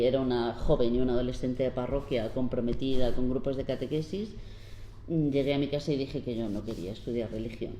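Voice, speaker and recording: Low-voiced woman in her fifties, roomy hall. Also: dark tone. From La Mancha, Spain. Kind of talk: public talk at a panel event. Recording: roomy hall with faint background noise.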